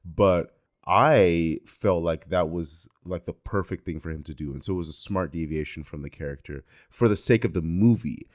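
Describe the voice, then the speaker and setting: A man with a very low-pitched voice discusses things as a podcast co-host. He is informal but analytical, building his points thoughtfully. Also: moderate pace, hardly any pauses.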